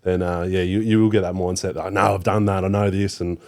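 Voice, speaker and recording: A man's voice: sits low, flat delivery, fast talker, darkish tone. A man in his thirties with an Australian accent, a guest on a podcast, talking in a laid-back way with lots of fillers and casual swearing. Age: 30s. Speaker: podcast guest, youth worker. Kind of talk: casual interview chat.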